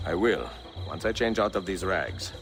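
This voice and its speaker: An actor playing a worldly middle-aged Italian assassin in a video game, with an Italian accent and measured, formal, courteous phrasing. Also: male voice, sits low, expressive, a bit bright. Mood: dry, courteous. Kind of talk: dramatic video game acting. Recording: background music under the voice.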